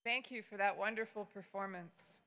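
An older woman giving a measured, formal introduction at a lectern during a ceremony. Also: mid-range voice, very noisy. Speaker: ceremony presenter. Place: large arena, indoors. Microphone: lectern mic.

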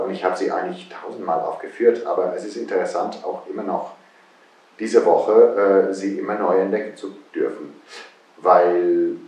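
A middle-aged conductor with an Italian accent talks in a deep, low voice, pausing often and searching for words. He sits in a wood-panelled room, picked up by a camera some way off, so the sound is full of room echo. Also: a little mellow. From Italy. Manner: thoughtful, hesitant, many fillers. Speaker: guest conductor. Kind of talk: interview answers. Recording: roomy, distant echo.

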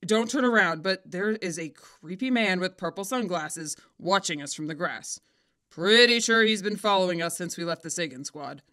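Woman putting on an awkward, bright-voiced teenage boy. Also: fairly low pitch, expressive, normal speed, voice pushed down. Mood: wary. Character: awkward, uneasy teenage boy, friendly and cautious.

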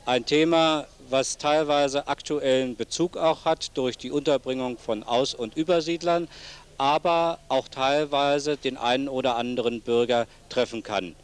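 A man's voice: medium pitch, fairly even intonation, a little slow, clear, resonant tone. A middle-aged man hosting a local TV interview, speaking politely and formally into a handheld microphone. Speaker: host interviewing a guest. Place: seated among plants in a senior citizens' centre. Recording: noisy old TV video sound.

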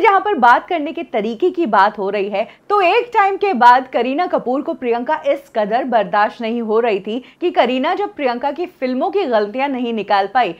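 A woman in her thirties presenting scripted celebrity gossip news in a studio, telling the story in a lively way and dropping in English phrases. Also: on the high side, dull audio.

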